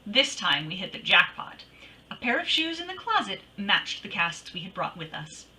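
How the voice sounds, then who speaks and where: Woman acting a bright, swooping lady private eye. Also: mid-range voice, sing-song, moderate pace, rough recording. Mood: brisk storytelling. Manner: breezy, teasing, wry. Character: bold, wisecracking female private detective narrating her case. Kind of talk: old-time radio detective drama acting.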